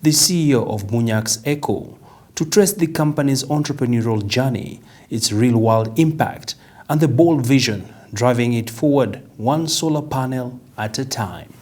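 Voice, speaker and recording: A man's voice: medium pitch, moderate pace, a little mellow. A man reading a scripted introduction to a business interview program. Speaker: presenter.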